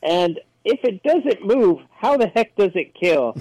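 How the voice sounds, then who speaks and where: Sarcastic higher-voiced man, dry sound. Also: big pitch swings, a little slow, mellow, dry recording, poor audio. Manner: sarcastic and jokey. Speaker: podcast panelist. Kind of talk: casual chat reading trailer summaries.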